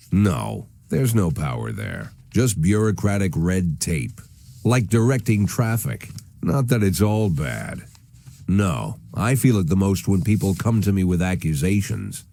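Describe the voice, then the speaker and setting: An actor playing a middle-aged, world-weary police chief in a noir video game, speaking in a deliberate, wry way. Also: male voice, fairly low pitch, warm tone. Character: weary, brooding police chief facing forced retirement.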